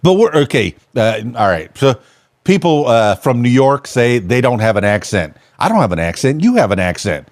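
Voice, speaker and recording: A man's voice: mid-range voice, very animated, moderate pace, dry recording, studio quality. A man hosting a podcast, chatting casually and rambling with lots of fillers and restarts. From Miami. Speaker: podcast host. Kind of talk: casual interview chat.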